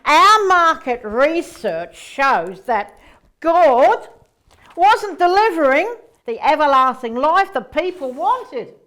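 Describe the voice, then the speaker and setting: An actress plays a female angel executive in a stage comedy, corporate-minded and fond of gossip. Her voice is very high and swings widely in pitch, with gushing exclamations between the boardroom phrases. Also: big pitch swings, slow pace, fairly bright tone, full character voice. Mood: businesslike, assured. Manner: gushing, exclamatory, business jargon. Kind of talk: comedy stage play acting.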